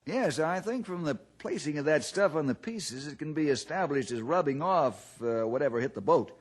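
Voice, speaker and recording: Fatherly radio-serial ranger hero: husky, very animated intonation, reflective, folksy delivery. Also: a little high, moderate pace. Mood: thoughtful. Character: steady, thoughtful, fatherly male ranger hero. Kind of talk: old-time radio drama acting.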